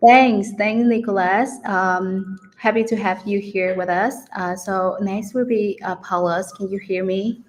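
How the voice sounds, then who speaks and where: A woman's voice: mid-range voice, fairly even intonation, a little slow, hardly any pauses. A woman hosting a virtual event, introducing speakers in a hesitant, informal way. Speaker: event host. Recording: noisy online call.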